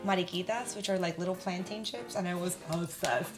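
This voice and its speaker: A woman from Miami chatting casually, energetic and prone to loud squeals. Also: fairly low pitch, brisk, faint music behind. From Miami, United States. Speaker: Broadway actress. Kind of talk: casual chat.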